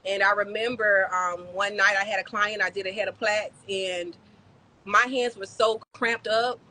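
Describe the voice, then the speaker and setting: A woman in her thirties, from the Bahamas and raised in South Florida, telling her story as a podcast guest in a relaxed, chatty way with many "um"s and "like"s. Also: medium pitch, unhurried, low-quality recording. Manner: warm, chatty storytelling with frequent "um" and "like". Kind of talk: casual interview chat.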